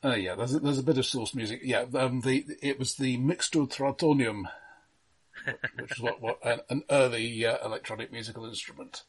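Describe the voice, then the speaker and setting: Slightly husky man explaining details haltingly. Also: mid-range voice, fairly even intonation. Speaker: film podcast co-host. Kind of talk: casual informative film discussion.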